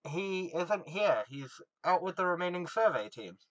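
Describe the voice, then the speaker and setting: Lo-fi radio operator guy acting, higher voice, clipped and businesslike, radio drama. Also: big pitch swings, moderate pace, fairly bright tone, no echo. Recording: dull lo-fi radio-link sound.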